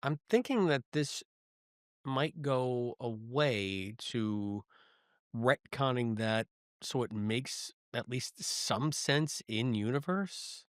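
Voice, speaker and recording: A man co-hosting a podcast, casually reviewing and discussing, with animated, halting delivery and emphatic repetition. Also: mid-range voice, dry recording, clean studio sound. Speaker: podcast co-host. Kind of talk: casual review discussion of a sci-fi audio drama.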